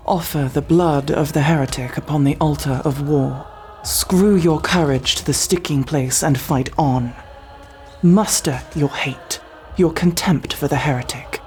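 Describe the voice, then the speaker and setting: A woman's voice: deep voice, darkish tone. A woman playing a devout, zealous sci-fi battle sister in an ASMR roleplay, with solemn, preaching, archaically formal speech. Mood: solemn, commanding, then fervent, rallying. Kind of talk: ASMR roleplay, dramatic monologue.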